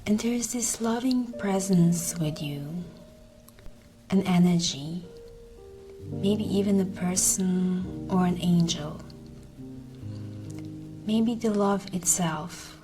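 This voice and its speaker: A woman leads a guided meditation in a soft, slightly low voice. She speaks very slowly and gently, often close to a whisper, with a soothing, breathy delivery. Music plays clearly behind her words. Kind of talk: slow guided meditation narration.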